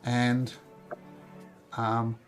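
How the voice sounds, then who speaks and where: Croaky-voiced man narrating a tabletop game. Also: medium pitch, completely flat delivery, extremely slow, loud music behind the voice. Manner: casual, chatty narration. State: croaky from a cold. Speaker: game master.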